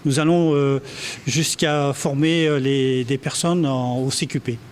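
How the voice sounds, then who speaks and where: A man's voice: mid-range voice, fairly even intonation, measured pace, darkish tone, a little hiss or hum. A man representing an association, speaking with hesitation and searching for words.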